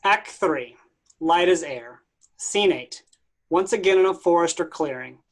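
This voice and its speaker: A woman's voice: fairly low pitch, expressive, measured pace. A woman reading stage directions as scripted narration for a play.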